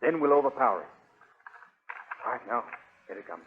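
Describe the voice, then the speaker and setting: An actor playing a bold young American athlete hero in an urgent, commanding manner, in 1930s radio serial style. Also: male voice, mid-range voice, lively intonation, a little fast, background noise, lightly acted. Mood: urgent, hushed. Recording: tinny 1930s radio broadcast recording.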